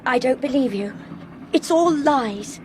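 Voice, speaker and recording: A woman's voice: sits high, lively intonation, unhurried, darkish tone. An actress playing a determined, impassioned young woman traveller in a radio drama, urgent and insistent. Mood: defiant. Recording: clear background train noise.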